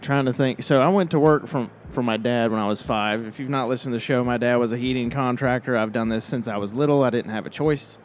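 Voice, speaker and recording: A man hosting a live interview on an expo stage, chatting casually and answering with quick affirmations. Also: mid-range voice, slight background noise. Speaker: podcast host.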